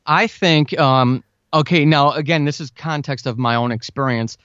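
A man's voice: on the high side, moderate pace, fairly bright tone, clean tone, no echo. A man speaking as a podcast guest, giving informal, conversational advice step by step in a casual interview. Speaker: podcast guest, writer and audio drama podcaster. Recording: dull, lo-fi, dry close sound.

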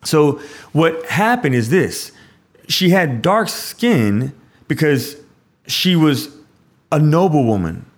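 A man's voice: fairly high pitch, sing-song, slow. A priest hosting a show and explaining a scripture passage in a conversational way. He often restarts his sentences and adds "like" asides.

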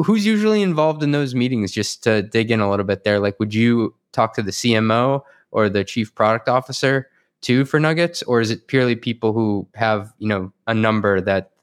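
A man hosting a podcast interview, asking questions in an informal, inquisitive way. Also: fairly low pitch. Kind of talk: casual interview chat.

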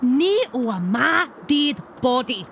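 Grumpy scottish lake monster lady, high sharp voice, mouthy, audio comedy, bit of background noise. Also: very animated, slow pace, creaky, full character voice. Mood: indignant. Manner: brash, salty, indignant slang-heavy talk. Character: huge, grumpy, foul-mouthed female Scottish loch monster. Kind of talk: audio fiction comedy acting.

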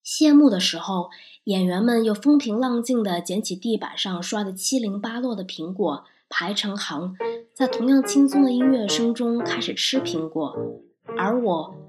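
A woman's voice: mid-range voice, expressive, normal speed, darkish tone, soft background music. A woman narrating a scripted first-person review as a podcast reviewer.

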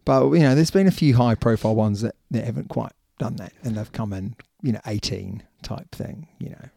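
Man, New Zealand accent, warm dark timbre, mid pitch, casual chat, easy laugh. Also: a little fast, creaky, dry recording, studio quality. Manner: chatty, laughs easily, rambling asides. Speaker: podcast co-host. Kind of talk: casual rugby podcast chat.

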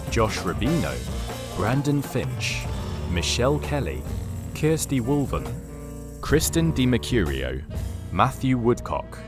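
A man narrating a scripted comedic audio drama in a theatrical, wry announcer's style. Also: mid-range voice.

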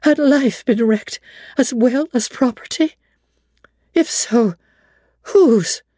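A woman giving a measured, theatrical dramatic reading of a classic novel in a studio. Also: a little high, warm tone, no room sound.

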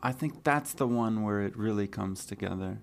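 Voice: medium pitch, normal speed, creaky, over a noisy crowd. A band member guest in a casual interview, answering with dry jokes and laughs.

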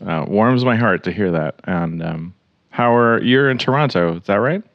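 A man's voice: lowish voice, animated, normal speed, dry recording, muffled recording. A man hosting a podcast, chatting in a friendly way with frequent fillers. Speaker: podcast host. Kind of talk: casual interview chat. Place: home in Oregon.

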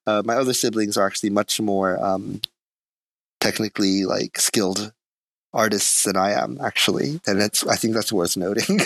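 A low-voiced man with a gravelly edge, speaking in a dry, close-miked sound. He is an artist guest who grew up in Japan, answering questions in a relaxed, thoughtful and self-deprecating way. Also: normal speed, no room sound. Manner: soft-spoken, thoughtful, self-deprecating with small laughs. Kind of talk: casual interview conversation.

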